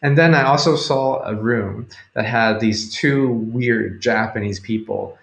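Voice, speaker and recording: Man, Cantonese speaker, casual livestream chat, hesitant and rambling, medium pitch, echoey room. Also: measured pace, gravelly, fairly bright tone. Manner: rambling, hesitant, thinking aloud. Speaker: livestream host. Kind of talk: casual live chat with viewers. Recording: roomy, echoing home recording.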